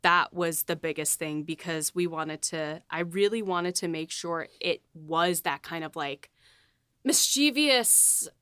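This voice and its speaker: A woman co-hosting a podcast and talking through her writing process, animated, with easy laughs and lots of fillers. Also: lowish voice, unhurried, clear, resonant tone, no echo, clean studio sound. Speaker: podcast co-host and series writer. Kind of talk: casual chat.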